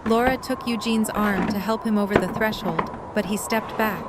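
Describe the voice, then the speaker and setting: A woman's voice: mid-range voice, fairly even intonation, moderate pace. A woman reading scripted story narration for an audio drama. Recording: noisy storm ambience behind.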